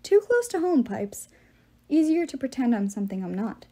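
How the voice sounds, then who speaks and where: A woman voicing a grown man, a wistful father with a wry, soft-spoken manner, in an audiobook. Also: high-pitched, sing-song, normal speed, heavily acted. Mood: wry teasing. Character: wistful, gently teasing father, adult man. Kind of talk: audiobook character voice.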